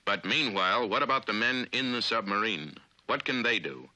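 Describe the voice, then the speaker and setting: Forceful low-voiced American male narrator, 1950s film. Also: animated, no room sound, low-quality recording. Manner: authoritative, clipped, deliberate.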